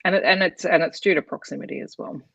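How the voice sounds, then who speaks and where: A woman's voice: low voice, quick pace, creaky, fairly bright tone, slightly rough, no echo. A woman co-hosting a casual book chat, reflectively talking through her thoughts in long run-on sentences. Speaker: co-host of a book discussion livestream.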